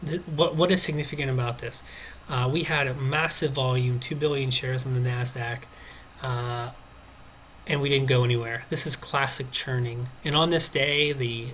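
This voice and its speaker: A man's voice: mid-range voice, a bit bright. A man whose voice is stuffed up from allergies talks casually through stock charts, hesitating and restarting often. There is a faint hiss behind his voice. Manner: hesitant, many uhs and restarts. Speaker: stock market commentator. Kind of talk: casual screen-share chart commentary.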